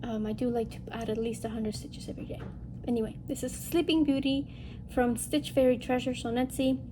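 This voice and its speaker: Noticeable background noise sits behind a woman with a fairly high voice. She sounds nasal and stuffed up, as someone recovering from illness does. She talks informally and ramblingly to her viewers, hosting a craft show-and-tell and often correcting herself as she goes. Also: flat delivery, a little fast. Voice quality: nasal, congested. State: getting over a respiratory illness. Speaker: host of a cross-stitch video channel.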